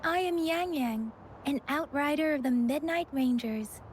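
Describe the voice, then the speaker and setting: An actress playing a gentle, courteous young female military outrider in a video game. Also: sits high, unhurried, slight background noise.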